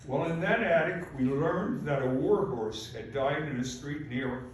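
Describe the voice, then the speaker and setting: A man's voice: on the high side, normal speed. Old guy in his 80s from poland, polish native speaker, giving a careful speech, super echoey room, bit of background hiss. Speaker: invited speaker, Holocaust survivor. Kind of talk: prepared commemorative speech, personal testimony. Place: large echoing room at a public event. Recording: very echoey hall, far off, faint noise.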